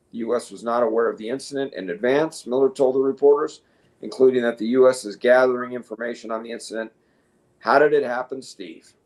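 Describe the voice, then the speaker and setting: A man co-hosting a talk show, reading news reports haltingly with casual asides and self-corrections. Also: on the low side, a little mellow.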